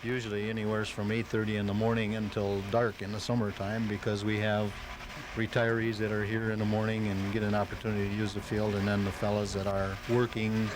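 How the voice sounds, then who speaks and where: A man with a lowish voice answers interview questions as a club member. He explains haltingly, with many hesitations, over clear background noise on a home-taped live radio show. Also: flat delivery.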